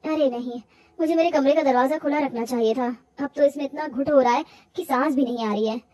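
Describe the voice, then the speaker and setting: A woman's voice: sits high, a little fast, mellow, close to an everyday voice. A woman voicing a meek, hardworking young daughter-in-law in a cartoon story, polite and weary. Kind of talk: cartoon moral-story acting.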